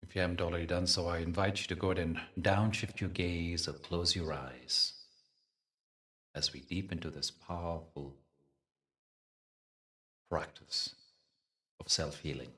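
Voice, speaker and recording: A man leading a guided meditation as a spiritual teacher, speaking in a soothing, deliberate manner with pauses between phrases. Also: sits low, fairly even intonation, bright, forceful tone, far from the mic.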